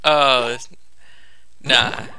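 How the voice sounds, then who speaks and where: A man's voice: medium pitch, fairly even intonation, drawn-out words, creaky, bright, forceful tone, clear, resonant tone. A young man giving casual gameplay commentary, laid-back and thinking aloud as he goes. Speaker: gamer recording a walkthrough.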